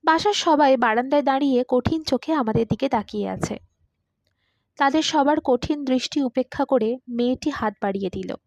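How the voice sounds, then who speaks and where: A high female voice narrates a literary short story with dramatic expression. The sound is dry and close, with a slightly dull, lo-fi finish. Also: moderate pace, a little mellow, no room sound. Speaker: story reader.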